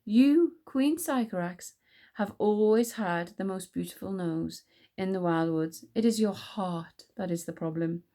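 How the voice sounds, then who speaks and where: A wry enchanter king from a storybook, read by a woman. He is composed and sardonic, musing calmly and unhurried by threats. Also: medium pitch, natural voice. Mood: scornful.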